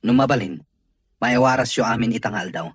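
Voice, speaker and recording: An actor as a husky, irritable male boss. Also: mid-range voice, brisk, dry recording, studio quality. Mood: businesslike. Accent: Ilocano. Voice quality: husky, rough. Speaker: radio drama actor. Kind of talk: Filipino radio drama dialogue.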